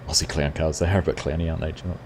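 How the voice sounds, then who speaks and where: A man's voice: a bass voice, racing, slight background noise. A middle-aged man with a New Zealand accent, hosting a live gaming stream and chatting casually with viewers, with plenty of fillers. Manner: relaxed and chatty, many fillers, answers chat by name. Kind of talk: casual chat reading viewer comments.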